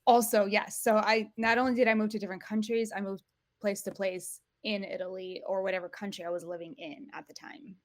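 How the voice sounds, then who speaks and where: A woman's voice: medium pitch, little pitch movement, fairly quick, dry recording. A woman in her thirties from Portugal, a podcast guest chatting casually and often restarting her sentences. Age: 30s. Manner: chatty, self-correcting, laughs easily. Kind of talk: casual interview chat.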